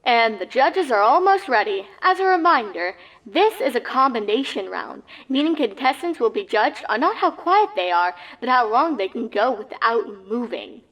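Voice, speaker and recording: A woman voicing an excitable pony sportscaster with punchy, straight-faced delivery, in a parody of live championship commentary. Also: on the high side, normal speed, hardly any pauses, pitched above the performer's own voice, heavily acted, low-quality recording. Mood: analytical, upbeat.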